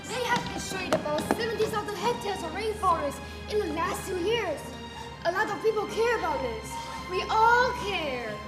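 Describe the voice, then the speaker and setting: A woman's voice: very high voice, expressive, normal speed, music in the background. A teenage girl acting in a school stage play, speaking earnestly. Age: teens. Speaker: student performer. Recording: echoey hall stage recording.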